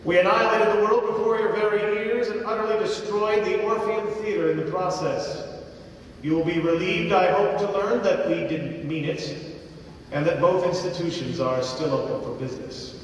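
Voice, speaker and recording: High-pitched male announcer in an echoey theatre. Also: moderate pace, a little hiss or hum. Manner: grand, declamatory, showmanlike. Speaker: actor-announcer hosting a live radio play. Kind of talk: theatrical old-time radio announcing. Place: large theatre, live stage performance. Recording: echoey theatre from far, music and crowd.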